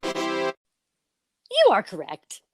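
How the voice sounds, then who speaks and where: A woman's voice: drawn-out words, over music. Playful, chatty woman hosting a podcast. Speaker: podcast host. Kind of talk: casual chat, quiz and interview hosting.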